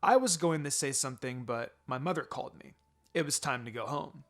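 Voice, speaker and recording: A man narrating fan fiction aloud in an expressive storytelling manner. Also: medium pitch, brisk.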